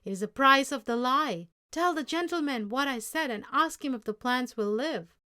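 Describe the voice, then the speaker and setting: A woman's voice: high voice, expressive, moderate pace, sharp tone, voice pushed up. Woman as a firm, honest old grandfather. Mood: sad, firm. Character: proud, honest old grandfather, stern but loving. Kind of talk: storybook character dialogue.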